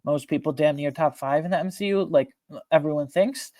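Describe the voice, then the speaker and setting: A man hosting a film ranking video, talking informally and emphatically with slang and a halting, opinionated delivery. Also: on the high side, darkish tone, dry recording. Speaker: video host ranking films.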